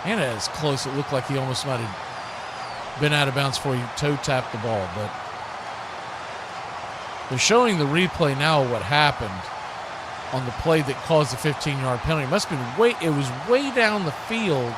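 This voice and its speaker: A man's voice: medium pitch, lively intonation, normal speed, long pauses, background noise. A man giving live play-by-play commentary on a football game.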